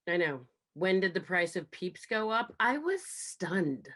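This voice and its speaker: A woman's voice: lowish voice, very animated, measured pace, fairly bright tone, full character voice. A woman playing a nervous, chirpy dog walker who is eager to appease, in a comedic sitcom script read. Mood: chirpy, apologetic. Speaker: sitcom actor at a cast reunion table read.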